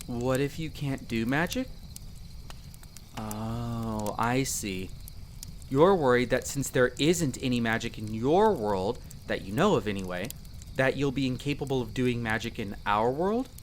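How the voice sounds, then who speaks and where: A performer voicing a young male elf explorer in a roleplay audio drama, patiently and gently coaching the listener as a magic teacher. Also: medium pitch, expressive, a little slow, slight background noise, close to an everyday voice. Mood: reassuring. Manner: gentle, reassuring, coaching step by step.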